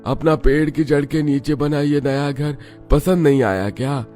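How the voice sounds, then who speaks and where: Man voicing caring older father-in-law, raised pitch. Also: unhurried, warm tone, faint music behind, natural voice. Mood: concerned. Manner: concerned, reassuring. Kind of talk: Hindi cartoon story dialogue.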